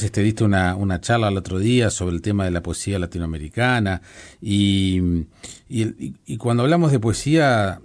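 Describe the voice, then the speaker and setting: A man's voice: fairly low pitch, a little mellow. A man hosting an interview, asking long, meandering questions. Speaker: host. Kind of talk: interview conversation.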